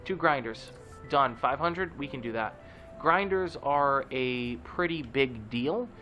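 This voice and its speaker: A man's voice: mid-range voice, expressive, slow pace, bright, forceful tone, muffled recording, soft background music. A man with a stuffy, nasal voice giving casual, joking commentary as a let's play host. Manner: chatty, joking, with many fillers.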